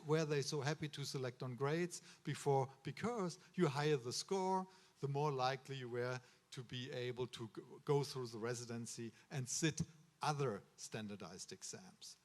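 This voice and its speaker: A man with a German accent, a medical school official giving a ceremony speech to students in an earnest, advising way, often pausing on uh and restarting words. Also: mid-range voice. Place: ceremony hall.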